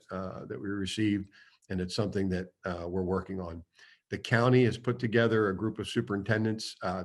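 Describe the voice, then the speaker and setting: Man, rather low and booming, rambling superintendent answering questions on a video call. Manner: rambling, with many fillers. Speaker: school superintendent. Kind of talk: reading and answering public comments at a video meeting.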